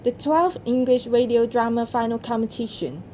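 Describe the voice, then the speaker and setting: A woman's voice: a little high, normal speed, a little mellow, slight background noise, poor audio. A woman presenting awards from a script as ceremony host.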